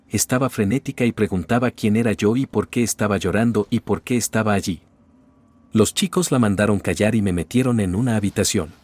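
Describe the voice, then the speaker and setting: A man narrating first-person stories in a steady, even reading voice. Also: lowish voice. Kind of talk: scripted narration.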